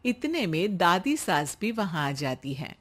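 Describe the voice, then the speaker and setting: A woman's voice: lowish voice, sing-song, a little slow, a bit bright. A woman reading scripted narration as the storyteller of a cartoon tale.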